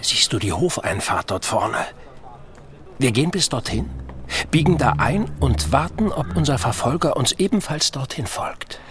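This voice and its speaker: A man playing an earnest period investigator who narrates his own case in a measured, literary audio drama style. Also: mid-range voice, very animated, close to an everyday voice. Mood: earnest, formal.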